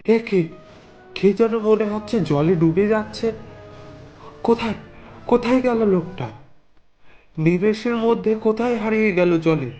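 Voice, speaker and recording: Man as an anxious, higher-voiced villager. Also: measured pace, pauses often, dark tone, dull audio, faint music behind. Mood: alarmed, puzzled. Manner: anxious talking to himself. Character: uneasy village man out alone at night, startled.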